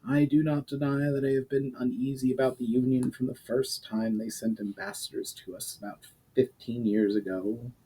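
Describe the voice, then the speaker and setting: A man voicing a fatherly fantasy duke in a storybook reading, firm, formal and concerned, with measured gravity. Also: medium pitch, little pitch movement, mellow, breathy edge, lightly acted, low-quality recording. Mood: grave, uneasy.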